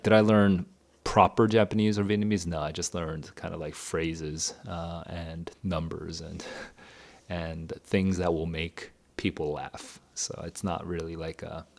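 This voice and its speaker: A man's voice: sits low, creaky. A man in his thirties, a tutor, answering viewer questions in a candid, rambling livestream chat. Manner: candid, rambling, thinks aloud. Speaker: tutor and educator. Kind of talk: casual livestream Q&A.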